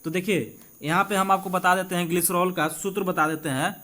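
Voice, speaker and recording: High-pitched Indian man teaching. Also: fairly even intonation, sharp tone. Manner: informal, repeats key points, checks in with listeners; reads questions slowly and deliberately in English, then explains in Hindi. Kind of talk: exam-prep chemistry lesson, explaining questions aloud.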